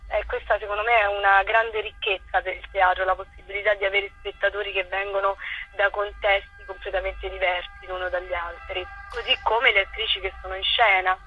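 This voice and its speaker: A woman's voice: medium pitch, flat delivery, fairly quick, faint music behind. A woman giving earnest, articulate and detailed explanations as a theatre director in an interview, in muffled phone-in sound.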